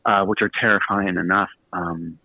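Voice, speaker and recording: A man's voice: low voice, steady tone, moderate pace, no room sound, phone-quality sound. A man chatting casually as a radio interview guest, self-deprecating and effusive, with a joking manner. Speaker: guest, author and podcast host.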